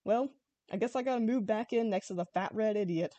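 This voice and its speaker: A woman's voice: mid-range voice, a little fast, warm tone, dry recording, highs cut off, natural voice. A woman voicing a weary, grumbling male dragon recluse in a hobby story reading. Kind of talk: hobby fan fiction reading.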